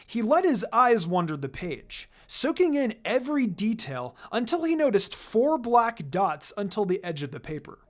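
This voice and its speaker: A narrator reading a fan fiction story aloud in an expressive, scripted storytelling style. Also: mid-range voice, normal speed, few pauses. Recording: music behind the voice. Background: background music.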